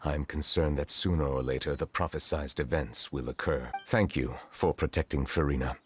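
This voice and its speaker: An actor playing a solemn, dignified judge in a video game, speaking formally, gravely and precisely. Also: male voice, rumbling low, moderate pace, slight background noise. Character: solemn, dignified male judge, secretly an ancient dragon. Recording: music behind the voice.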